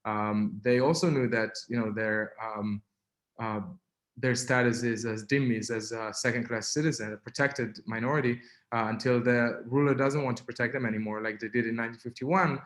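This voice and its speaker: Israeli man with halting, filler-heavy speech. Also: mid-range voice, fairly even intonation, reverberant. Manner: halting, many fillers and restarts. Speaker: webinar guest speaker. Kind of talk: personal testimony in a webinar.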